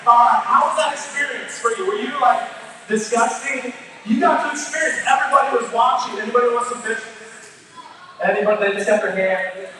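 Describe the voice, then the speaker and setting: High-pitched man hosting in an echoey hall. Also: fairly quick, slight background noise. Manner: informal, commanding, shouts directions to the crowd. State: voice straining, starting to give out. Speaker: youth pastor hosting a youth group event. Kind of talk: live stage hosting, hyping games for students. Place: large indoor church room with stage and PA. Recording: very echoey hall, distant mic, faint music.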